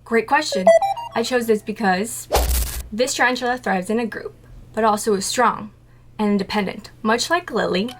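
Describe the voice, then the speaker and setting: A woman's voice: on the high side, moderate pace, over loud music. A woman talking to camera about her tarantulas, chatty and playful, asking herself questions and then answering them. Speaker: tarantula keeper vlogger. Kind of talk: casual scripted talk to camera.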